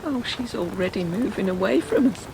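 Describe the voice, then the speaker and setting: A woman's voice: mid-range voice, expressive, moderate pace, vocal fry, noisy background. A woman playing an anxious, self-doubting wife and mother in an audio drama, speaking in a plaintive, hesitant way. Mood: grieving.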